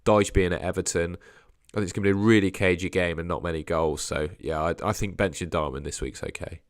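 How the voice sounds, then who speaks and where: Young male streamer, a bit hoarse from a sore throat, low voice, hedges a lot and drops off quietly at line ends. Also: fairly even intonation, quick pace, no echo, studio quality. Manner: hedging, trails off quietly at phrase ends. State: sore throat, losing his voice. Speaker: fantasy football livestream host. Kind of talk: casual live stream chat answering viewers.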